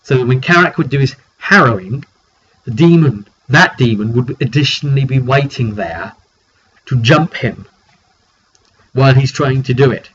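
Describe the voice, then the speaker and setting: A British man giving a casual, rambling recap of a roleplay game session, often halting mid-sentence as he tells the story. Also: mid-range voice, animated, a bit bright, slightly rough. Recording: dull lo-fi recording with background noise.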